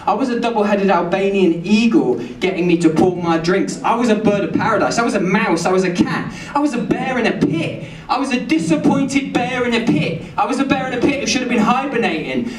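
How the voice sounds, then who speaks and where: A man improvising a spoken-word poem in a small venue, rambling and playful with sudden shouts. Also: very high-pitched. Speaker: poet. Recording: echoey room from far off.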